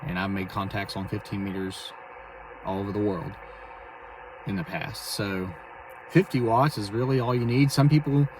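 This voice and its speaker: A man talking casually and haltingly as he explains his amateur radio hobby in a vlog from inside a vehicle. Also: fairly low pitch, fairly even intonation. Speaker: amateur radio operator and video host. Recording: distant mic, clear vehicle and radio noise.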